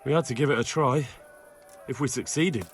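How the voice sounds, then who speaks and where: An actor playing a rueful, steady comrade in a medieval video game, with understated delivery. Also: male voice, medium pitch, moderate pace, faint music behind, lightly acted. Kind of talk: dramatic video game cutscene acting.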